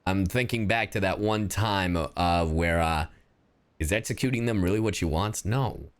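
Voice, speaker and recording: A man livestreaming a game, reacting casually to his chat in an excitable, slangy, foul-mouthed way. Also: sits low, normal speed, a little hiss or hum.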